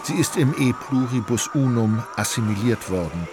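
A man acting as narrator of a literary radio drama, an ornate, wry storyteller with a measured delivery. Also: mid-range voice, lively intonation, noisy background, natural voice. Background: music.

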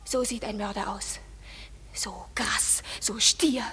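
A woman's voice: mid-range voice, fairly even intonation, slow, fairly bright tone, whispered. An actress playing a passionate, distraught young woman in love in a radio drama, declaiming verse dramatically. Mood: accusing anguish. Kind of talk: classic stage-verse radio drama acting.

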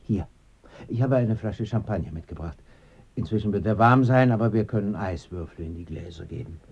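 Low-voiced, earnest, troubled visitor. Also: male voice, a little fast, slightly rough, highs cut off. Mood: insistent. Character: earnest, troubled man visiting his dead friend for answers. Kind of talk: German radio play dialogue.

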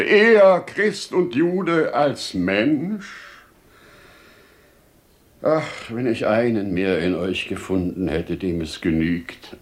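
An actor playing a wise, humane older merchant, warm and earnest, in 1950s radio-play style with theatrical, deliberate declamation. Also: male voice, lowish voice. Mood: fervent.

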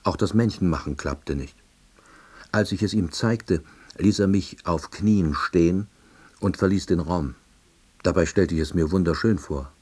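A man playing a wry, self-deluding office worker who narrates his story in a deadpan, reflective way. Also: low voice, measured pace, husky. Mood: dry musing. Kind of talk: first-person radio play narration.

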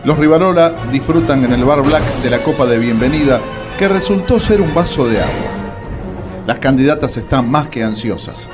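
An actor as a very animated theatrical radio narrator. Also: male voice, mid-range voice, moderate pace, natural voice. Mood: theatrical, teasing. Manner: theatrical, teasing announcer delivery. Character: teasing, theatrical male narrator of a comic radio serial. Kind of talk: dramatic scripted radio serial narration. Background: music behind the voice.

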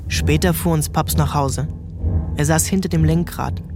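A man narrating a literary audiobook in a calm, measured storytelling voice. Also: a little high, music in the background.

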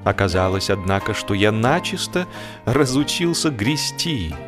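A man narrating a humorous literary story in an expressive, theatrical way, with deliberate drawn-out pauses. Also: lowish voice. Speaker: audiobook narrator. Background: music.

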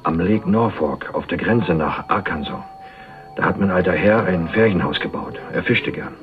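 An actor playing a guarded, casual young heir in a radio crime drama, answering in clipped, offhand phrases. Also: male voice, low voice, moderate pace, highs cut off, faint music behind, lightly acted. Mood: calm, persuasive. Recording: band-limited radio play recording.

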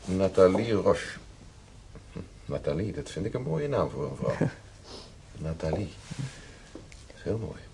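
A genial hospital professor in a radio drama, courteous and gently ironic. His low voice moves expressively as he teases and reassures, on a slightly noisy recording. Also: very animated, creaky, heavily acted. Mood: kindly, reassuring. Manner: measured, gently ironic, courteous bedside talk. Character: genial male hospital professor, courteous, teasing, paternal doctor. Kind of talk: Dutch radio drama acting.

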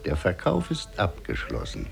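A very deep, croaking sly trader drawls with swooping pitch. He sounds amused and crafty in an old radio drama. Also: huge pitch swings, normal speed, heavily acted. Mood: sly amusement. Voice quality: gravelly, croaking. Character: sly, amused male monkey dealer. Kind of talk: 1950s radio play acting.

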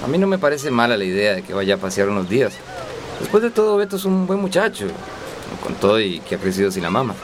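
A man's voice: on the low side, very animated, fast. An actor playing an easygoing, gallant family man in a radio soap opera, reassuring and courtly. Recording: noisy ambience behind.

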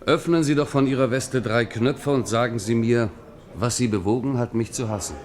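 A man's voice: medium pitch, normal speed, darkish tone, close to an everyday voice. An actor playing an outspoken old Huguenot poet, frank and earnest with his king, in a German radio play.

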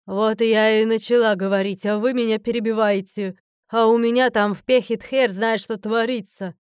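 Woman voicing an imperious, majestic mother goddess, clear voice. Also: mid-range voice, flat delivery, unhurried, no echo, clean studio sound, close to an everyday voice. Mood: irritated. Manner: grand, stern and declamatory. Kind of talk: fantasy audiobook character acting.

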